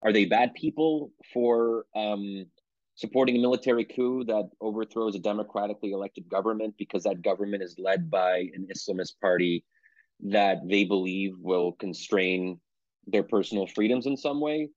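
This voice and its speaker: A man's voice: lowish voice, moderate pace, darkish tone, no echo. A man from Pennsylvania, an author being interviewed, talking in a thoughtful way and often correcting himself. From United States (Pennsylvania). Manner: thoughtful, self-qualifying, frequent restarts. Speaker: author, guest. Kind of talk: conversational interview answer. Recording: dull lo-fi dry sound.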